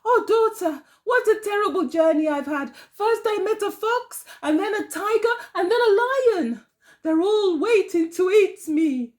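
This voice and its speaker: A woman's voice: very high-pitched, measured pace, pitched above the performer's own voice. A woman voicing an anxious old mother in a children's tale, pleading in a plaintive sing-song. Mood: distressed.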